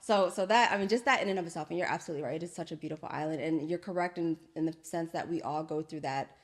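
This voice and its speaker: A woman hosting a podcast, chatting casually and affirmingly, with lively colloquial phrasing. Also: low voice, rapid-fire. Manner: lively, colloquial, laughs often, affirming fillers. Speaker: podcast host. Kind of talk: casual interview chat.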